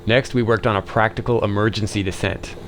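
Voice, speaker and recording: A man's voice: lowish voice, fairly even intonation, a little fast, background noise. A man hosting an online video, speaking in a scripted voice-over addressed to viewers. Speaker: video host, narrator.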